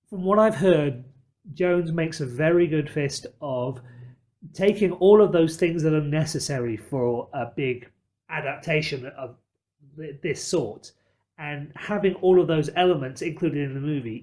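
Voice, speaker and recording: A man's voice: fairly high pitch, darkish tone. A man hosting a podcast in a discursive, emphatic way, qualifying his points as he builds an argument about films. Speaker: podcast host. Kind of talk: film discussion podcast chat.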